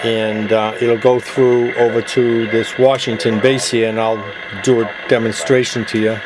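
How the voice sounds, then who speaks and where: A man gives an informal product demo in a plain-spoken, step-by-step way. His voice sits at a middling pitch. Clear radio noise and static run behind him as he talks. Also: level tone, unhurried. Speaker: product demonstrator, CB radio gadget seller. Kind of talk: casual hands-on product demonstration.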